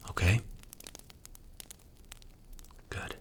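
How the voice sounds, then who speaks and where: A man playing a strict, commanding mafia boss in an intimate ASMR roleplay, speaking softly and breathily with stern, blunt, measured delivery. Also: slight background noise. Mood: grave, lecturing.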